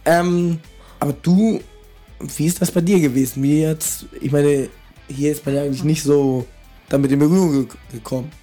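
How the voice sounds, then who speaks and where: A man's voice: on the high side, normal speed, soft background music. Man with a cold, chatting on a podcast. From northern Germany. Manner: relaxed, chatty, asks questions. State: has a cold. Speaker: podcast host. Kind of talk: casual podcast chat.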